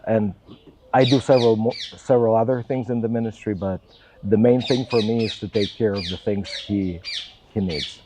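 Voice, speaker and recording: A man's voice: fairly low pitch, steady tone, moderate pace, background noise. A middle-aged man from Russia speaking English with a Russian accent, a church assistant answering interview questions earnestly and with careful, self-taught phrasing.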